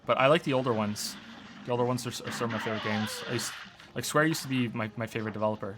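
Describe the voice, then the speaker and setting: A man in his thirties streams a game and talks over it, with a mostly Canadian accent that has Welsh traces. He rambles, restarts his sentences and stammers while answering questions. His voice sits at a middling pitch, and faint game music plays behind him. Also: rapid-fire. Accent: mostly Canadian with some Welsh traces. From Wales. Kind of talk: casual gaming stream chat.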